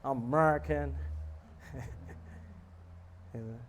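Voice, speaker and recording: A man giving a relaxed, joking talk on scripture to a live audience, often asking them questions. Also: medium pitch, slow, a little hiss or hum. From South Africa. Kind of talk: casual sermon to a live audience.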